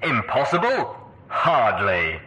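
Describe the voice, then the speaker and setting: Guy acting smug scheming pony villain, lively bright voice, monologue type, audio drama, noisy backdrop. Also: lowish voice, slow pace, roomy sound. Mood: gloating, explanatory. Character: confident, theatrical pony villain, calm schemer fond of monologue.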